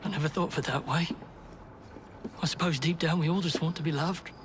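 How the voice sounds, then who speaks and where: An actor playing a rough Victorian London street thug, mocking yet sentimental, in a video game. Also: male voice, a little high, steady tone, fairly quick, noisy background, natural voice. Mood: sheepish. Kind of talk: video game character acting.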